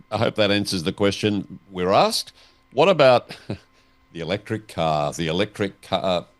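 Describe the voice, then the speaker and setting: A man interviewing as a host, putting deliberate, inquisitive questions in a conversational style. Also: low voice, fairly bright tone.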